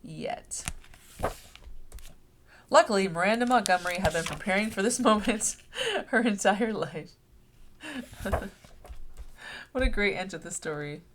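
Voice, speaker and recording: A woman reads a picture book aloud for young children. Her delivery is expressive and animated, with pitch that moves up and down freely as she tells the story. There is faint noise behind her voice. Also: a little slow, long pauses. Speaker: read-aloud host. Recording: clear background noise.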